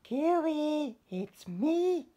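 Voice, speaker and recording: Tiny young storybook fish, excitable, squeaky high voice, calling out. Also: male voice, very slow, full character voice. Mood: eager calling. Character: small eager excitable young male fish.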